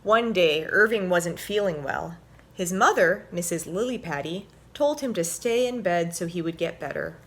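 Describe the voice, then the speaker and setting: A woman presenting and reading a children's story aloud in an expressive, clearly told storytelling manner. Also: lowish voice, normal speed, slight background noise.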